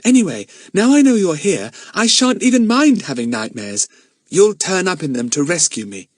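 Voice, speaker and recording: Man as an excitable high-voiced little girl. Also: normal speed, dry recording, clean studio sound. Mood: happy, trusting. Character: excitable, affectionate, trusting little girl in adventure story. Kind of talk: audiobook character voice.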